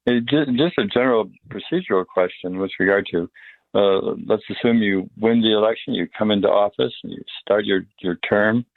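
A man hosting a show, interviewing a guest in a conversational, halting way. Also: lowish voice, gravelly, no echo. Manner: conversational, halting, repeats words. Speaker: radio show host. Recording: phone-like line, dry.

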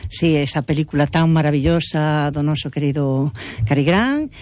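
A woman theatre director with a Galician accent, talking quickly with many fillers. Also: low-pitched, darkish tone, no room sound. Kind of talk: interview answers.

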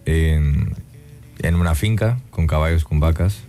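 A man's voice: extremely low pitch, a little slow, mellow, soft background music. A man from Guatemala, a singer chatting in an interview, thoughtful and full of "eh" fillers and tag questions. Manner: reflective, many "eh" fillers and tag "¿no?". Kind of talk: casual interview chat.

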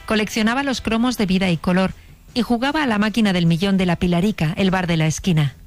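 A woman giving scripted documentary narration in an even, measured reading. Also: medium pitch, faint music behind.

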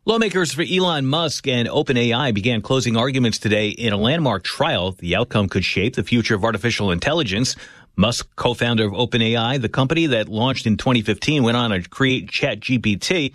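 A man reading a national radio news item in crisp anchor style. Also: medium pitch, lively intonation, brisk, fairly bright tone, no room sound, studio quality. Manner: crisp newsreader delivery.

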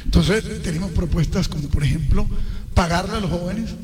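Middle-aged guy w/ a colombian caribbean accent, fairly high voice, earnest and speechy, reverby studio sound. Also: a little fast, darkish tone. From Colombian Caribbean coast. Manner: earnest, speech-like, stacks lists of points. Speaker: political candidate, radio guest. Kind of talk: radio interview.

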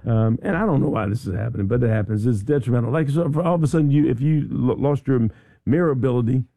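A hoarse, raspy-voiced man hosting a casual radio talk show, chatty and jokey as he tells stories. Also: mid-range voice, fast, warm tone, no echo. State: hoarse, losing his voice. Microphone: studio microphone.

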